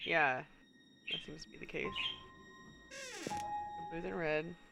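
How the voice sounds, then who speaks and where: Stuffy-nosed streamer muttering over music. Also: female voice, sits low, fairly even intonation, slow, vocal fry, lo-fi sound. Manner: muses aloud, reads in-game text, chuckles often. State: stuffy nose. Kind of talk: casual gameplay commentary.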